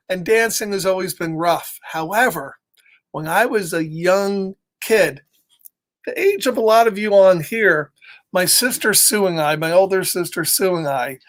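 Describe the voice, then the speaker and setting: Middle-aged guy doing kids storytime, chatty and encouraging, mid-high voice, simple words. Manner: encouraging, chatty, expressive, simple wording for children. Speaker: storytime reader for children. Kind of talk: animated read-aloud and casual personal storytelling.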